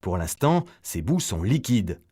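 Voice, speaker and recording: Male explainer narrator, very animated intonation. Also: fairly low pitch, unhurried, breathy edge. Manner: didactic, step-by-step explaining. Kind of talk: scripted educational narration.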